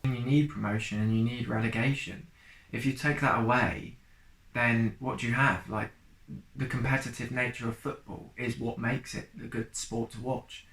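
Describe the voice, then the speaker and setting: A man's voice: medium pitch, fairly even intonation, moderate pace, a bit bright. Reflective young man in a roomy space. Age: 20s. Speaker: interviewee, football fan. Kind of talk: documentary interview.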